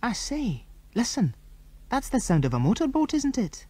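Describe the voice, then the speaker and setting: A British man voicing a quick-thinking, earnest girl in an adventure storybook. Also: very high-pitched, wildly swooping pitch, dark tone, breathy edge, no echo, voice pushed up, full character voice. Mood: breathless, excited.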